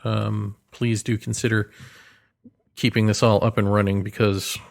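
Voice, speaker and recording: A man's voice: lowish voice, level tone, unhurried, creaky. A man hosting a solo podcast in a casual, rambling way, hesitant as he thinks aloud, with a wry, self-deprecating manner. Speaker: podcast host and author.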